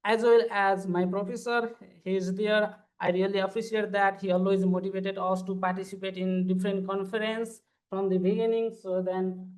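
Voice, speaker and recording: A man's voice: high voice, level tone, moderate pace, few pauses, a little mellow, poor audio. A man, giving an earnest, formal conference panel presentation as a PhD student. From Nepal. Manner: earnest, formal, walks through slides step by step.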